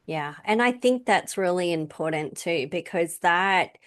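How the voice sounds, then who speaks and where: A woman hosting a podcast interview speaks supportively, affirming her guest often and using soft filler words as she reflects on what she hears. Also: fairly low pitch, expressive, unhurried. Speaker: podcast host. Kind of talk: empathetic interview conversation.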